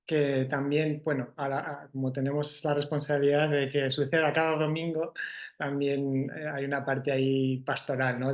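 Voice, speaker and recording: A man with a Spanish accent from Spain, an event organizer answering interview questions in a reflective way, often tagging his sentences with a questioning "no". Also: mid-range voice. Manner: reflective, frequent "¿no?" tags and restarts. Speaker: festival organizer.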